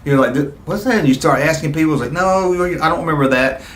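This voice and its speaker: A man's voice: a little high, fast, fairly bright tone, echoey room. A middle-aged man talking casually and nostalgically to his viewers, with an enthusiastic, rambling, conversational manner. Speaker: YouTube video host.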